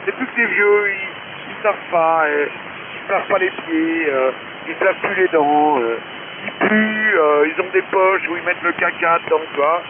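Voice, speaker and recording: A man's voice: on the high side, normal speed. A man chatting casually as an amateur radio operator, crude and slangy, over a shortwave transmission. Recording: hissy narrowband shortwave radio with static.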